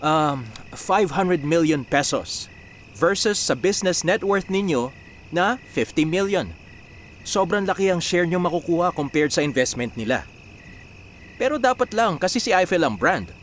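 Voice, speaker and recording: Confident Filipino business strategist, higher-pitched male voice. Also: lively intonation, unhurried, a little hiss or hum. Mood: confident, persuasive. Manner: explanatory, peppered with English business phrases and checking words. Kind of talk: Filipino radio drama acting.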